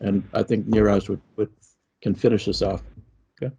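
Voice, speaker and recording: A man's voice: lowish voice, little pitch movement, normal speed, mellow, husky. A middle-aged man with a Canadian accent, speaking conversationally on an online panel and often checking in with "okay?". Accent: Canadian English.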